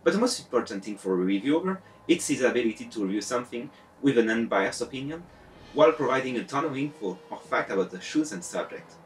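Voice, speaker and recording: French-accented man with lively pitch. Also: fast talker, a little hiss or hum, rough recording. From France. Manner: sarcastic, animated delivery. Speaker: YouTube reviewer. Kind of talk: scripted video essay. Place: home room with bookshelves.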